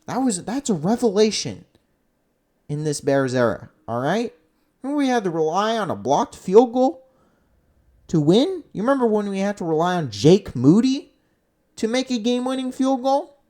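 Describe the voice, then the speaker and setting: Exasperated sports fan with wildly swinging pitch. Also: big pitch swings, normal speed, warm tone. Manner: exasperated, emphatic, profane. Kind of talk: unscripted opinionated sports rant.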